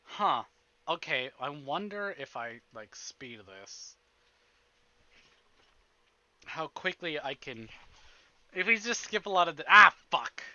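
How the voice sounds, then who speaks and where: A man with a fairly high, very animated voice gives casual livestream commentary on a game. He is expressive and profane, slipping into muttering to himself as he chats with viewers. Music plays clearly behind his voice. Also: a little slow, dry recording, highs cut off. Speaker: live streamer.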